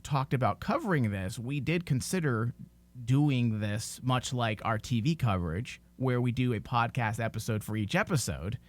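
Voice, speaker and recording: A man's voice: mid-range voice, lively intonation, normal speed. A man hosting a podcast, talking informally and giving his opinions in a casual discussion. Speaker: podcast host.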